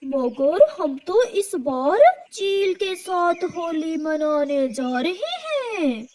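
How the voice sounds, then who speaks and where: A woman's voice: very high voice, very animated, extremely slow, darkish tone, exaggerated voice. A woman voicing a haughty male owl who loves sweets in a children's cartoon. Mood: dismissive.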